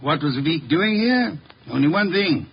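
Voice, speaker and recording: A pompous eccentric detective, husky and deliberate, old muffled radio show. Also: male voice, on the high side, expressive, full character voice. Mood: stern, certain. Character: brilliant, eccentric, haughty middle-aged male detective. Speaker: radio actor in a detective series. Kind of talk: 1950s radio drama acting. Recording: muffled 1950s radio recording.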